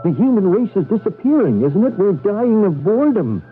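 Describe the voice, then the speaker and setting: An actor playing a grave, fearful male fugitive in a 1980s radio drama, speaking portentously with hesitant, dramatic pauses. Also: sits high, big pitch swings. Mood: despairing. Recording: tinny vintage radio broadcast, faint music behind.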